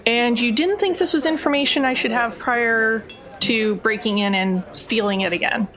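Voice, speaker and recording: A woman's voice: on the high side, moderate pace, a bit bright, close to an everyday voice. A woman playing a sarcastic, defiant burglar in a tabletop game, with a dry, sardonic delivery. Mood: sarcastic, indignant. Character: sarcastic, foul-mouthed burglar, defiant and wry.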